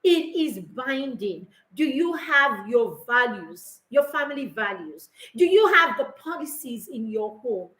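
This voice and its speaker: Middle-aged woman, parenting mentor, high and bright, emphatic repeats, echoey room. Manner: emphatic, repeats key phrases, asks rhetorical questions. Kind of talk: live talk to parents.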